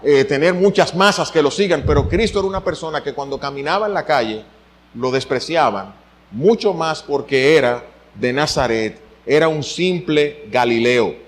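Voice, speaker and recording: A middle-aged man from the Dominican Republic with a Dominican accent, preaching a sermon as pastor, his voice swinging upward to stress a point. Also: a little high. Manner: animated preaching, voice swinging up for emphasis.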